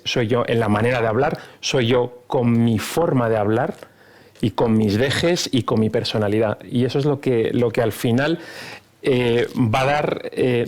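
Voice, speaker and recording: A man on an online panel, speaking emphatically with frequent hesitant pauses. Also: medium pitch. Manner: emphatic, many filler "eh" pauses. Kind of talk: panel discussion. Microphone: video call.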